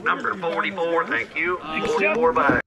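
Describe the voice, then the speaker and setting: A man's voice: on the high side, moderate pace, gravelly, dull audio, very noisy. A man working as an auctioneer, calling bids in a clipped, repetitive chant at a busy auction. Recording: echoey, distant, loud crowd.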